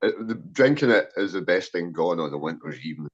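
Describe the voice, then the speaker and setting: Caller, man, forties, dry humor, patient explainer; lowish voice, muffled line. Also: animated, a little fast. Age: 40s. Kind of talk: casual chat. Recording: dull lo-fi line.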